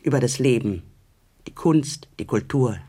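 An actor playing an agitated man who fancies himself a lofty judge of culture, husky and exclamatory in a radio drama. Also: medium pitch, measured pace, mellow, lightly acted. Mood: grandiose, excited.

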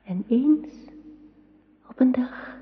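An actress playing a vengeful, menacing sorceress in a radio drama, in a breathy whisper. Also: female voice, high voice, animated, very slow, exaggerated voice. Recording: thin, phone-like old radio play recording.

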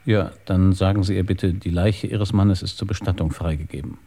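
An actor playing a weary, probing police inspector in a German radio crime drama, terse and matter-of-fact. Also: male voice, low-pitched, steady tone, normal speed, warm tone, slight background noise.

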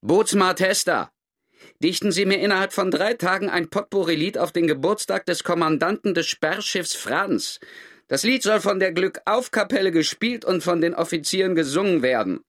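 An actor playing a self-important naval lieutenant with a formal, commanding manner in a radio drama. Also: male voice, sits high, normal speed, a bit bright. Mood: officious, commanding. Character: clipped, self-important male naval lieutenant giving orders.

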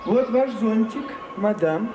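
A man's voice: sits high, expressive, slow, noisy background. A man playing a fussy, long-suffering secretary to an old actress in a radio drama, deferential yet dryly opinionated. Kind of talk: theatrical radio drama acting.

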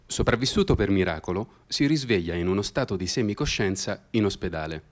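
A man's voice: on the low side, expressive, brisk. A man delivering scripted promotional narration as a radio drama announcer. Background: music.

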